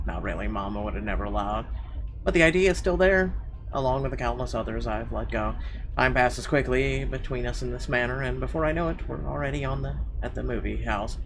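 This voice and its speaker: A man's voice: mid-range voice, background noise. A man reading visual novel narration aloud as a let's play gamer. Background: game music.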